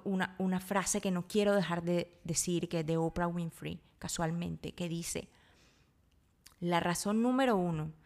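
A woman hosting a solo podcast, talking reflectively and informally, earnestly working out her thoughts aloud. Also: lowish voice. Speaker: podcast host.

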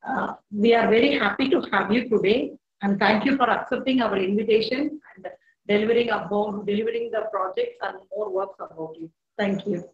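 A woman's voice: mid-range voice, fairly quick. A woman co-hosting an online talk show, formal and hesitant with many uhs. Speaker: co-host. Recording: echoey, dull lo-fi sound.

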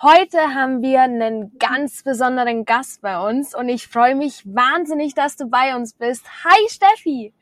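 Enthusiastic, high-voiced woman podcast host. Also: measured pace, few pauses, no room sound, clean studio sound. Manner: enthusiastic, upbeat. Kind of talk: podcast opening and introduction.

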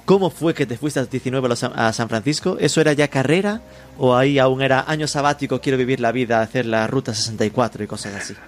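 A man's voice: mid-range voice, quick pace. A man hosting an interview, asking chatty, jokey questions. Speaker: podcast host and interviewer.

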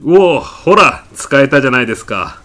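A man plays an eccentric supermarket customer in a comedy radio sketch. He is insistent and absurdly self-assured, pressing his case like a salesman, with very lively swings of pitch. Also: normal speed, a little hiss or hum. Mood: triumphant. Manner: insistent, indignant salesman-like patter. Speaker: podcast actor. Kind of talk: comedy radio drama acting.

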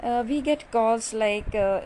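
A woman's voice: fairly high pitch, slow, darkish tone, a little hiss or hum. A middle-aged woman doctor with an Indian English accent hosts a casual podcast, speaking chattily with many filler sounds.

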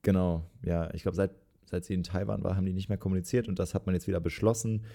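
Deep, mellow young man joking. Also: fairly even intonation, fast, vocal fry. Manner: joking, laughs often. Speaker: podcast co-host. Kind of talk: casual political chat.

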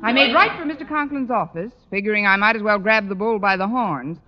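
An actress playing a wry, wisecracking schoolteacher with a dry, sardonic manner in old radio comedy style. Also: female voice, medium pitch, a little fast, natural voice. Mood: wry narration. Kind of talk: 1940s-50s radio comedy acting. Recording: noisy, muffled old radio recording.

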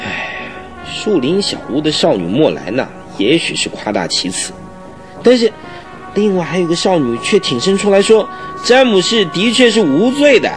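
A chatty police inspector in a radio play, highish voice, swoops all over, lots of sighs. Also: male voice, very animated, moderate pace, over music. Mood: puzzled, exasperated. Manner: exclamatory, full of sighs and interjections. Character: excitable, chatty male police inspector, admiring and sighing. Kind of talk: Mandarin radio drama acting.

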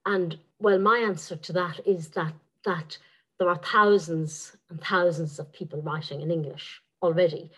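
Woman novelist, low voice, careful and hesitant, restarts mid-sentence. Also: fairly even intonation, normal speed, a bit bright. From Ireland. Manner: thoughtful, many repeated words and restarts. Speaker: novelist guest. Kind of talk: interview answers.

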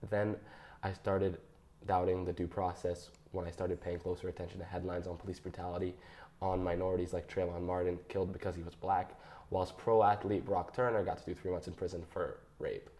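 A young man reading his personal statement aloud in a measured, careful way. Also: low voice, little pitch movement, dark tone. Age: young adult. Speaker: guest.

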